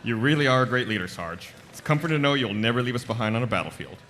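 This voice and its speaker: A man's voice: mid-range voice, lively intonation, very fast, noisy background, natural voice. A man playing an earnest, deferential but doubting soldier in a comedic table read, questioning his superior politely.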